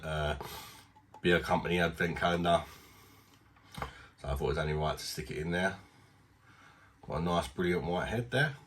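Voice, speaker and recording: A man's voice: low voice, a bit bright, slight background noise. A man with a British accent reviewing beer in a casual, rambling, self-deprecating way, a little bunged up from a blocked nose. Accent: British English. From Britain.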